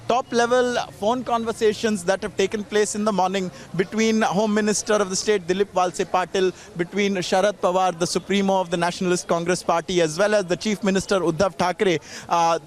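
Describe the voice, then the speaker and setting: A man with an Indian accent giving a live on-location news report in a formal, wordy way with frequent hesitations. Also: high-pitched. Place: outdoors on a city street.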